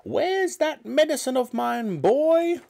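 A man's voice: big pitch swings, takes it slowly, gravelly, darkish tone, exaggerated voice. A man voicing a nasty, grumpy old grandmother who screeches and snaps spitefully. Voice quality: shrill screeching. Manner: snapping, spiteful, scolding.

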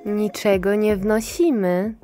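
An actress playing a brusque, officious clinic attendant in a dystopian future audio drama, clinical and commanding. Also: female voice, medium pitch, steady tone, takes it slowly, over music, natural voice. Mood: clinical, brisk.

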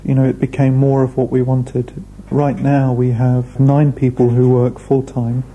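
A man talks through a documentary interview in a measured, hesitant way. His voice is dark and gravelly with little pitch movement. A faint hiss runs under the old video recording. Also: low-quality recording. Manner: measured, thoughtful, hesitant fillers. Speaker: restaurant founder. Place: indoor room.

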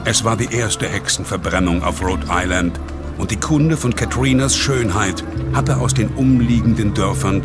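A man narrating a horror radio play in a solemn, measured, dramatic storytelling style. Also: deep voice. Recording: dramatic music behind the narration.

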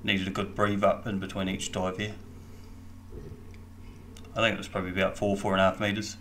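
A man's voice: deep voice, monotone, brisk, fairly bright tone, slight background noise. A man with a New Zealand accent giving casual voice-over commentary as a diving vlogger. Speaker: vlog host and diver.

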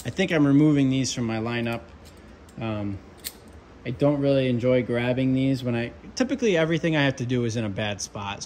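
A young man, an HVAC controls tech, chatting casually through his tool bag at a workbench, relaxed with lots of ums and asides. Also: mid-range voice, a little hiss or hum. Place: workshop with pegboard and workbench.

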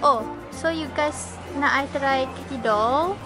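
A woman's voice: sits high, measured pace, poor audio. A woman in her twenties with a Malaysian accent chatting casually to her vlog camera, switching between Malay and English. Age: 20s. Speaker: vlogger. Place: busy mall restaurant and shops. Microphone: camera at arm's length or on table. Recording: loud music and crowd chatter behind.

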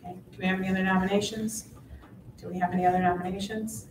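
A woman chairing a school board meeting, brisk and procedural. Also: medium pitch, level tone, slight background noise. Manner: brisk, procedural, laughs off slips. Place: large meeting room with long tables. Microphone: table mic from far off. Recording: echoey boardroom from far off.

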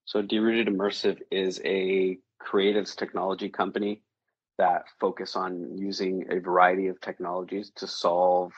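A very deep-voiced man from Chile gives interview answers in a husky tone. His speech is thoughtful and dotted with fillers and restarts. The sound is dry and dull, as over a lo-fi remote line. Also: no room sound. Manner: thoughtful, many fillers, repeats words. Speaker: guest, creative director.

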